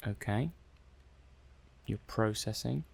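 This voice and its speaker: A man acting an affable technician in an ASMR sci-fi roleplay. His voice sits fairly low with plenty of gravelly creak. He talks softly and hesitantly, thinking aloud as he runs tests on a robot, a little flustered. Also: slow, a little hiss or hum. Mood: explaining, intrigued. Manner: hesitant, musing aloud, conversational. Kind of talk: soft-spoken ASMR roleplay.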